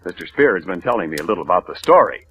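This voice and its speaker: A male announcer reading sponsor copy in smooth, salesmanlike 1940s radio style. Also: sits low, big pitch swings, very fast, fairly bright tone. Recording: dull old radio broadcast with music.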